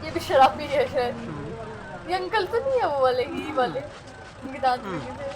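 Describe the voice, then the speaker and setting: A woman's voice: sits high, expressive, normal speed. A woman from India casually commenting as she reacts to a film. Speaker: reaction video host. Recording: loud music and film sound behind.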